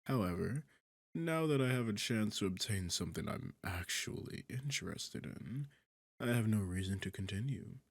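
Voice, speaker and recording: A sardonic demon king, acted by a man, low and gravelly, speaks close and dry in an intimate fantasy audio roleplay. He takes his time, teasing and condescending, with a bored, seductive arrogance. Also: animated, normal speed, no room sound. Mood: haughty, matter-of-fact.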